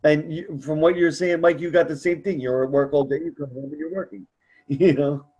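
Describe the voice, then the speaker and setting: A man's voice: a little high, steady tone, a little fast, a little mellow, breathy edge, dull audio. A man speaking casually as host of a support group conference call, earnest, encouraging and a bit rambling.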